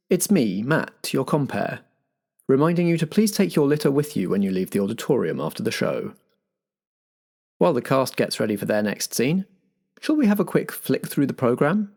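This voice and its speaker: A man's voice: a little high, gravelly, darkish tone. A man hosting a podcast as compere, giving chatty scripted announcements straight to the listeners as if in a theatre interval. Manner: chatty, direct address to listeners.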